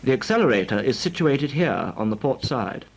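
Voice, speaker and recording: An actor playing a formal, precise British naval flying instructor lecturing trainees in a 1940s instructional film. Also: male voice, medium pitch, sing-song, normal speed. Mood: formal, instructive. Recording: noisy, crackly 1940s film soundtrack.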